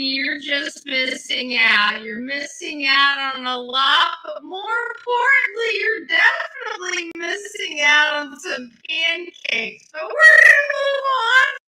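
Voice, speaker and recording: A woman's voice: extremely high pitch, background noise. A woman streamer chatting casually on a livestream. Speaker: online streamer.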